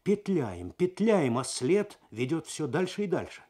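A man voicing a lively, imaginative police detective in a radio story, with an animated manner. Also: fairly high pitch, measured pace, voice pushed up. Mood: exasperated. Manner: animated, eager.

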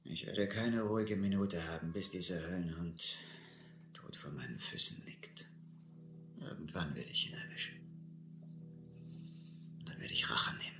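An actor playing a bitter, vengeful ship's cook in a radio play, brooding and hateful, with a gravelly voice. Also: male voice, deep voice, a little slow, pauses often, bright, forceful tone, whispered, full character voice. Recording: echoey old recording with faint noise.

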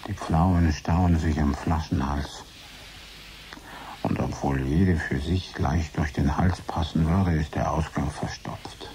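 A man's voice: extremely low pitch, fairly even intonation, takes it slowly, darkish tone, heavily acted. An actor playing a frustrated man trapped in his own thoughts, in a brooding radio play monologue. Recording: hissy, noisy 1980s radio recording.

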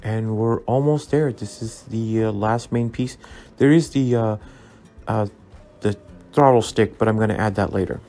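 A man narrating a scale model build as a relaxed tutorial, easygoing and full of ums and uhs as he recommends each step. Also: on the low side, moderate pace. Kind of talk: relaxed instructional voice-over. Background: soft background music.

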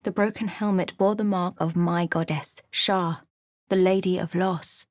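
An actress playing an observant, wry woman adventurer in a fantasy video game, with measured, dramatic storytelling delivery. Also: on the low side, fairly even intonation, no echo, studio quality, lightly acted. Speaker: voice actor for a fantasy role-playing game companion or player character. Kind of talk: scripted video game voice lines, narration and character remarks.

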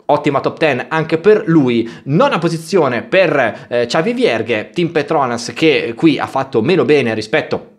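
A man in his thirties, voice sitting a bit high, runs through race results for his channel. He talks in a casual, chatty flow, dropping filler sounds between thoughts. It is recorded close on a headset mic in a small room. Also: expressive. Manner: chatty, many filler "eh" pauses. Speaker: motorsport YouTuber. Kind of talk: race recap commentary.

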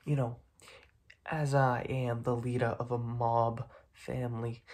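A young man voicing a smug, menacing mob boss in a soft-spoken, half-whispered ASMR roleplay full of gangster slang. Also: medium pitch, creaky, a little hiss or hum. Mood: solemn, coaxing. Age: young adult. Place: small dark room indoors.

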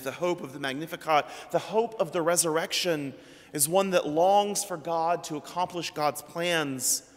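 Priest in his 30s, fairly high voice, slow deliberate sermon delivery, long church reverb. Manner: slow, measured preaching with trailing drops in pitch. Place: church sanctuary at a pulpit. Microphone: pulpit microphone.

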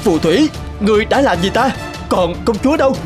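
Heroic young prince for animation: earnest, slightly high voice, light background music. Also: male voice, lively intonation, fairly quick. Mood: alarmed, challenging. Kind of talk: cartoon voice acting. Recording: music behind.

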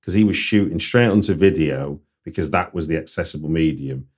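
Podcast host, male, Manchester accent, low raspy voice, relaxed conversational delivery. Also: a little fast. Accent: Mancunian. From Manchester, England. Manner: conversational, frequent backchannel murmurs. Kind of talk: casual interview chat.

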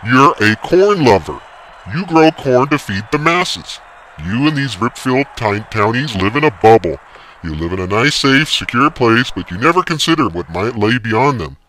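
A man voicing a stern, blunt small-town sheriff who umpires, barking his lines loudly. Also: mid-range voice, lively intonation, moderate pace, slight background noise. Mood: angry. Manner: loud, barking.

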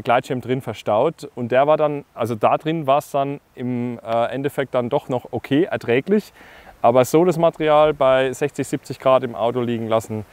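Man in his thirties, from Germany, casual instructor tips, mid-pitched voice, lapel mic, dry close sound. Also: no room sound. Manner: relaxed, chatty, frequent fillers, addresses viewers directly. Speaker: paragliding instructor. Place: grassy meadow outdoors, hills behind.

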